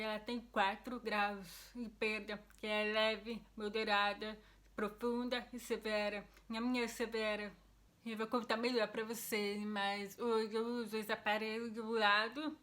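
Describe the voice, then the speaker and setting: A woman's voice: fairly high pitch, fairly bright tone. A young Brazilian woman with the speech of a deaf person, which others hear as a foreign-sounding accent. She is a vlogger explaining something earnestly and casually to the camera. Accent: Brazilian Portuguese. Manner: earnest, explanatory, with frequent restarts.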